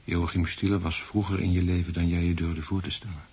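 An actor playing a calm, probing doctor in a radio drama, speaking in a measured, formal, clinical way. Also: male voice, sits low, lightly acted. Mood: analytical. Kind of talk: Dutch radio drama acting. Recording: noisy radio play recording.

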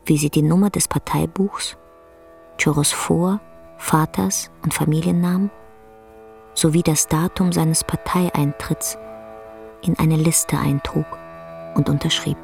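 A woman playing the storyteller of a somber tale, narrating a radio drama in a measured, grave, literary way. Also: low-pitched, fairly even intonation, vocal fry, a little mellow, faint music behind.

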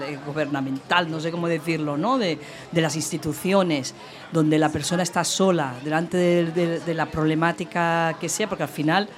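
A woman with an accent from Spain talking casually in a panel discussion, often adding short tag questions. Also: on the low side. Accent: Spanish, from Spain. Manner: conversational, frequent tag questions. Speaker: panel participant.

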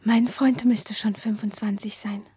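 An actress playing a dreamy, earnest teenage schoolgirl in a radio play, speaking in a hushed, breathy, confiding way. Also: on the high side, little pitch movement, a little slow, no room sound. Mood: dreamy, curious. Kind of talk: intimate radio play dialogue.

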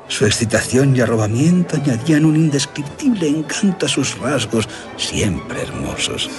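An older man with a Castilian Spanish accent playing a grieving aristocratic general who recounts his tragedy in florid radio drama style. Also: medium pitch. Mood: brooding. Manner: florid, theatrical, dramatic storytelling. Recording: music under the voice.

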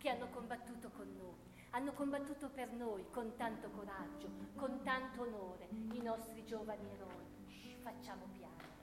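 An actress playing a solemn, fussy woman who repeatedly hushes and leads a memorial for fallen soldiers in a theatrical radio play. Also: a little high, normal speed, lightly acted. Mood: solemn, hushing. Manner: repetitive hushing pleas, switching between several languages. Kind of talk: theatrical radio drama monologue. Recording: echoey hall, loud guitar music behind. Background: guitar music.

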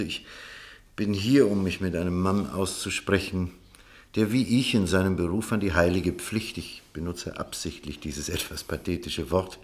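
A male actor plays a reserved doctor in a radio drama, his voice fairly low. He speaks formally and guardedly, measuring his sentences and holding firmly to his principles. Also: natural voice. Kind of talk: German radio play dialogue.

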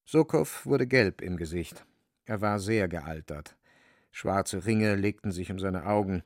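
A man's voice: sits low, animated, measured pace, dry recording, studio quality. A man delivering scripted literary narration for a radio drama.

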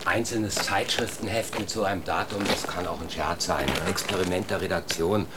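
Sensible doubting dad played by an actor, lowish voice, radio drama, loud noise behind. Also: male voice, steady tone, brisk, close to an everyday voice. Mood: doubtful. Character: reasonable, doubting man, a father. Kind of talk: German radio drama acting.

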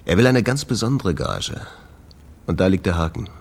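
An actor playing a troubled, exasperated jazz club owner in a radio play, talking in a confiding way. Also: male voice, low voice, animated, normal speed. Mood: worried, confiding.

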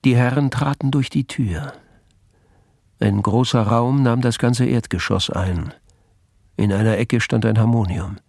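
A man's voice: mid-range voice, a little slow, creaky, a little mellow, no room sound, studio quality. A man narrating a crime radio play in composed, literary scripted prose. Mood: composed, suspenseful.

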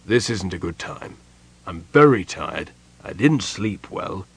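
A man doing a quiet, weary young mage. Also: low voice, lively intonation, vocal fry, husky, dry recording, full character voice. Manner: quiet, measured, restrained. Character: tired young male mage, patient and thoughtful.

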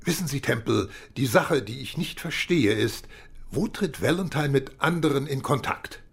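Husky, creaky senior police chief. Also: male voice, medium pitch, expressive, moderate pace. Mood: businesslike. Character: senior British police chief, measured, courteous, knighted man. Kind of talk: radio drama dialogue.

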